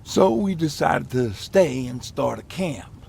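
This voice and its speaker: A man playing a weary, plain-spoken war survivor with a gravelly voice in a video game. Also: mid-range voice, expressive, takes it slowly, darkish tone, slight background noise, exaggerated voice. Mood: somber. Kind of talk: video game dialogue acting.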